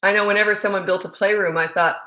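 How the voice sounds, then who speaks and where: Middle-aged Canadian woman coach, frank, rambling live Q&A talk, mid-pitched voice. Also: fairly even intonation, fast, pushed and bright. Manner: chatty, frank and digressive. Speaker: parenting coach. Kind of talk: live Q&A answering viewer comments.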